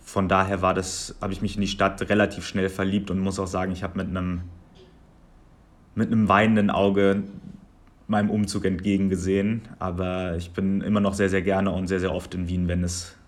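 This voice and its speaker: A man in his twenties from Germany, answering interview questions in a measured, articulate way with long listing sentences. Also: deep voice, level tone. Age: 20s. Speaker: guest.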